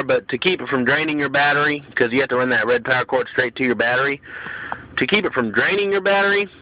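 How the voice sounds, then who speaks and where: A man with a mid-pitched voice talks through a hands-on demonstration in a casual, blunt way. The phone recording is dull and lo-fi, with faint vehicle noise around him. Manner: informal, blunt, plain-spoken. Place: in and around a pickup truck. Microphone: handheld phone.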